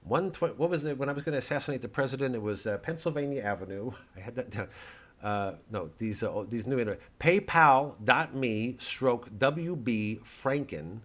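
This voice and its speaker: A man's voice: mid-range voice, normal speed, few pauses, fairly bright tone. A man giving a rambling, casual solo monologue as a comedian plugging his work, irreverent, digressive and profane. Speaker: comedian promoting his show and shop.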